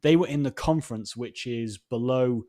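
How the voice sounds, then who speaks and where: Male co-host, medium pitch, casual discussion with wry, wordy delivery. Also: normal speed, warm tone. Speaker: podcast co-host.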